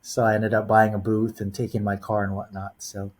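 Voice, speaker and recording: A man's voice: on the low side, completely flat delivery, brisk. A man in his forties, raised in Los Angeles, telling stories as a podcast guest with lots of "um" and "you know". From born in the Philippines, raised in Los Angeles. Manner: rambling storyteller, heavy on "you know" and "um". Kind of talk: casual podcast interview.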